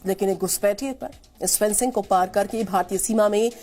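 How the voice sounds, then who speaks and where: A woman's voice: mid-range voice, a little fast, dark tone. An Indian woman presenting television news in a formal, emphatic manner. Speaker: news anchor. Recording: studio voice over news music.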